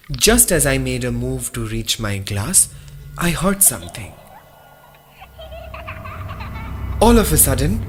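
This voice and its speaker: A man playing a frightened young man who recounts his nightmare in a horror radio play, with dramatic, deliberate delivery. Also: on the high side, background noise. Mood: uneasy. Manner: slow, dramatic, deliberate storytelling. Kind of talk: first-person horror radio drama narration. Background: dramatic music.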